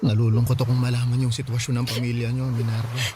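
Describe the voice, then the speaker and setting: Actor as tender young boyfriend over music. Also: male voice, medium pitch, completely flat delivery, quick pace, lightly acted. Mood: earnest, tender. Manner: tender, reassuring. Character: earnest, caring, devoted young boyfriend. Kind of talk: radio drama acting.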